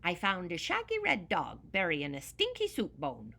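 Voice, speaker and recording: A woman's voice: fairly low pitch, moderate pace, vocal fry, exaggerated voice. Woman doing a grumpy Irish leprechaun. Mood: grumbling. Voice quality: brogue-y dialect, very lively sing-song delivery. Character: grumpy old male leprechaun shoemaker, tiny, hoards gold. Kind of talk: storybook narration.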